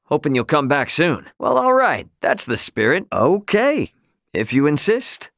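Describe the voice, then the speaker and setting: A man's voice: on the high side, huge pitch swings, unhurried, a bit bright, no room sound, clean studio sound, exaggerated voice. A man voicing an easygoing, friendly young trader and adventurer in a video game, with a casual, folksy delivery. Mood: upbeat, reassuring.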